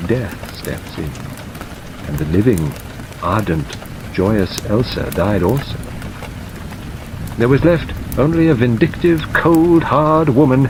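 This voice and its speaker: A man playing a worldly, sentimental elderly male lawyer in an audiobook, declaiming melodramatically with sighs and pauses. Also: medium pitch, voice pushed up. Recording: steady rain noise over narration.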